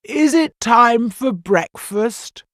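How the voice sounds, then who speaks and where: A woman voicing a kindly old man from a storybook, talking to his pet in an expressive, unhurried way. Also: fairly high pitch, dry recording, clean studio sound. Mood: fond.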